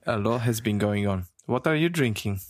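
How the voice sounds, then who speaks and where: A man from Romania co-hosting a casual chat, with a rough voice today, talking haltingly with fillers and a self-deprecating streak. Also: lowish voice, lively intonation, no room sound, studio quality. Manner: halting, many fillers and restarts, laughs often. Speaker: podcast co-host.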